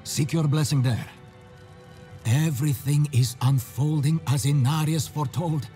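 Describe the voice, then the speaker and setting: Casting: male actor as solemn man of faith, slow, mellow, reverent, fantasy game with faint music. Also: a little high, fairly even intonation, close to an everyday voice. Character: devout, solemn male follower of a holy order. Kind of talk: dramatic video game dialogue.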